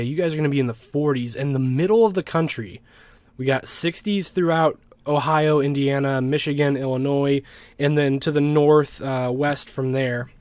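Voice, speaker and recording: A man with an American accent explains things as an informal weather forecast host, in a halting way with repeated words. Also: on the high side, no room sound. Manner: halting, explanatory, with word repetitions. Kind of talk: informal weather map commentary.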